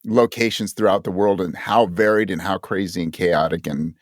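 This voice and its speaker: A man with a fairly low voice talks as a guest in an interview. He is reflective and thoughtful, often searching for the right words. The sound is dry, with no room echo. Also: fairly even intonation, moderate pace. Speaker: podcast guest, audio drama creator.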